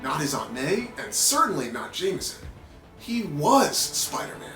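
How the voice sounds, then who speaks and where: High-voiced male storyteller in a roomy space. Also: very animated, a little slow. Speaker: children's story reader. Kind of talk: animated read-aloud narration for kids, with playful asides. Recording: roomy echo with background music.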